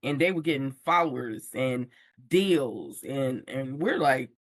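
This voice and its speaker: Slow-talking candid woman guest. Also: very deep voice, lively intonation, no echo. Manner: candid, slang-laced storytelling. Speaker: podcast guest, book influencer. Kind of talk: casual conversation.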